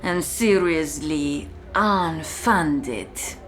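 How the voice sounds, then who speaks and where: A woman playing a contemptuous, commanding prison warden in a slow, deliberate, menacing ASMR-style roleplay monologue. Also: low voice, expressive, heavily acted. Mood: cold scorn. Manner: slow, deliberate, menacing, often dropping to a whisper.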